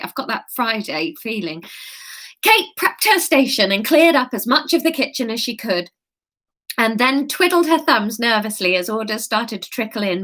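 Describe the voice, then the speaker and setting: A woman's voice: fairly high pitch, pushed and bright. A slightly tipsy woman comedian reading a novel aloud on a live stream, giggly and chatty, breaking off to answer comments. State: a bit tipsy. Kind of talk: live-streamed storybook reading with chat asides.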